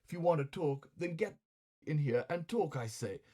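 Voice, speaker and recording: A man's voice: on the high side, expressive, normal speed, mellow, pitched above the performer's own voice. Man acting a grumbling, hot-tempered male customer. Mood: grumbling, impatient. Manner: indignant, sarcastic, colloquial bluster. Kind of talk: dramatized comic storytelling.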